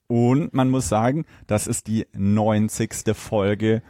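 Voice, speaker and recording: A man with a fairly low voice chats casually as a podcast guest. The recording is dry and free of room sound. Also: fairly even intonation, slow, dark tone, no room sound.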